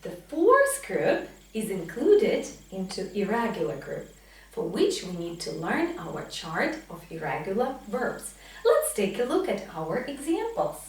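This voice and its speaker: A woman in her thirties giving a pronunciation lesson as a teacher, enunciating example words deliberately and emphatically. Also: medium pitch, wildly swooping pitch. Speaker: English teacher. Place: small indoor classroom with whiteboard. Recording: very echoey room, distant microphone.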